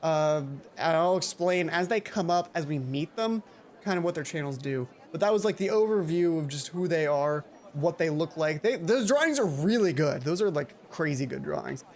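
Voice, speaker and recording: An excitable, informal video game commentator who often breaks off and interrupts their own thoughts while narrating a playthrough. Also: medium pitch, moderate pace. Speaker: let's play host. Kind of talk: game commentary and read-aloud of a visual novel.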